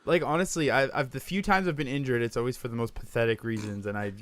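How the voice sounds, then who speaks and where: Raspy-voiced man, self-mocking podcast talk. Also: medium pitch, fast, no echo. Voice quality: raspy from a sore throat. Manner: self-mocking, laughs often. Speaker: podcast co-host. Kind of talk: casual chat.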